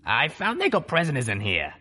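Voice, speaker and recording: A man's voice: fairly high pitch, wildly swooping pitch, fairly quick, bright, forceful tone, breathy edge, voice pushed up, full character voice. A man voicing a tough female griffon mercenary who speaks dry and matter-of-fact.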